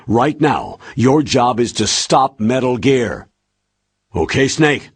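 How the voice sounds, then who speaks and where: An actor playing a stern colonel in a video game, giving firm, authoritative orders in a raspy voice. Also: male voice, medium pitch, sing-song, takes it slowly, full character voice. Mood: urgent, commanding. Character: stern, commanding male military colonel. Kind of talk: dramatic video game voice acting.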